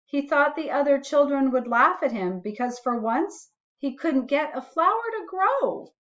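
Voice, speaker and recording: A woman reading a children's storybook aloud expressively, adding musing asides to young listeners in a deliberate way. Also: sits high, expressive, a bit bright, dull audio.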